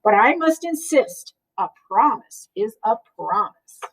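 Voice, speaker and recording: A woman's voice: a little high, very animated, a little slow, husky, recorded from a distance, full character voice, low-quality recording. A woman voicing a courteous but insistent male moose husband in a children's storybook.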